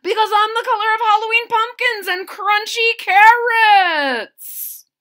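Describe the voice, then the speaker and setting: A woman voicing an eager, excitable maple leaf clamoring for attention in a children's storybook read-aloud. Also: very high-pitched, a little slow, pitched above the performer's own voice, heavily acted.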